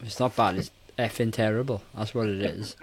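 A man's voice: lowish voice, steady tone, a little fast, a little hiss or hum. A man in his forties giving blunt, casual opinions on a film as a podcast co-host. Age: 40s. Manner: blunt, mildly sweary.

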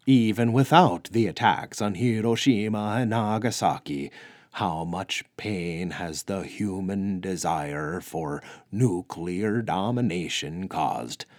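A man's voice: low voice, slow, a little mellow, dry recording, studio quality. A man voicing a reflective companion who counters with thoughtful argument.

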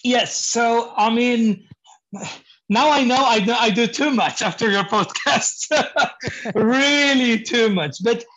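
A man from Poland with a Polish accent, speaking English as a second language, halting and searching for words, laughing now and then. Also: very high voice, fairly bright tone, poor audio. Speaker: guest, painting contractor. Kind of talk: interview conversation.

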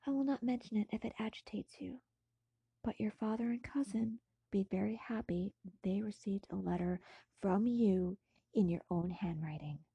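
A woman's voice: mid-range voice, moderate pace, gravelly, warm tone, husky, no room sound, dull audio. A woman voicing a caring young male friend in a storybook, soothing and formal. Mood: soothing, concerned.

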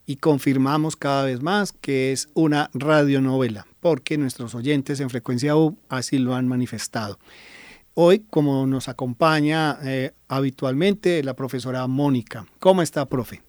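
A man's voice: medium pitch, moderate pace, darkish tone, no echo, studio quality. A man with a Colombian accent hosting a radio show in an enthusiastic, courteous way. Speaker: co-host, professor.

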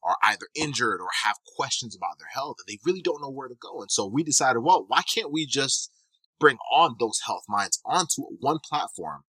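A man's voice: mid-range voice, animated, fairly quick, pushed and bright, husky, no echo, rough recording. A man from Kenya hosting a casual podcast as a physical therapist, talking loosely and eagerly with many restarts.

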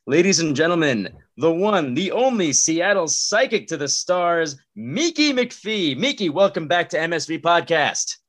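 A man hosting a comedy podcast, speaking deliberately with dry politeness and some halting phrasing. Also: on the high side, sing-song, fairly bright tone. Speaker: podcast host. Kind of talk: podcast hosting with comic banter.

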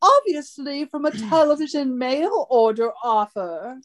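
A woman's voice: sits high, expressive, measured pace. An actress playing a snobbish, catty society lady in a comedy radio play, with an affected drawl and French flourishes. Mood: disdainful. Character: snobbish society lady appraising decor with disdain.

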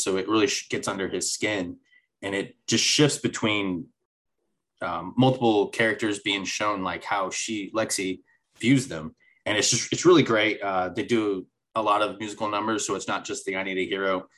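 A man's voice: fairly low pitch, fairly bright tone. A man on a podcast recapping a TV episode in casual chat, rambling with many fillers and restarts. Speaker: podcast co-host.

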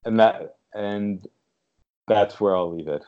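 A man's voice: fairly low pitch, slow pace, background noise. A man chatting casually as an interview guest, a literary translator, recorded on slightly dull lo-fi audio.

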